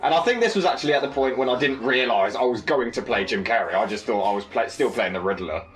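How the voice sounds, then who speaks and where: A man's voice: medium pitch, lively intonation, fast talker, fairly bright tone. A man who made and acted in the film, talking casually on a cast commentary. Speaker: filmmaker and actor on a cast commentary.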